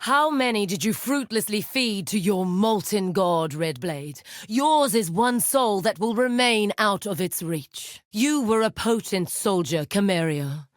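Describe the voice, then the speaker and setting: A woman playing a commanding, coldly seductive necromancer villainess in a video game, speaking in a grandiose, deliberate, menacing way. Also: medium pitch, lively intonation, no room sound, clean studio sound, lightly acted. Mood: cold judgment.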